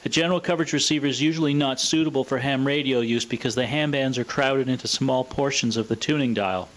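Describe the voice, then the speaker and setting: A man's voice: mid-range voice, fast, a bit bright. Matter-of-fact man narrating a demonstration. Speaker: presenter of a vintage radio showcase video. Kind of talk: scripted narration, explanatory product demonstration.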